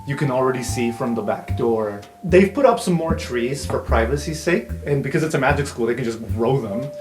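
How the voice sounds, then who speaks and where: A man game master narrates an improvised tabletop scene in a casual, conversational way. His pitch is middling. The room echoes and faint music plays behind him. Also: lively intonation, fairly quick.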